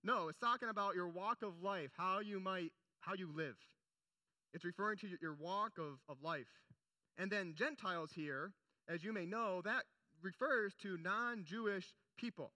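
A man's voice: sits high, moderate pace, pushed and bright, no echo. A young man preaching a sermon in an earnest, conversational way, with casual asides between scripture readings. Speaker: pastor.

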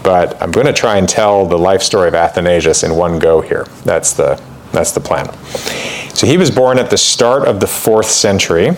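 A man's voice: deep voice, a little hiss or hum. A man teaching church history in an informal lecture, talking conversationally with fillers and asides, and reading quoted passages with more emphasis. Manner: reads quotations aloud with more emphasis.

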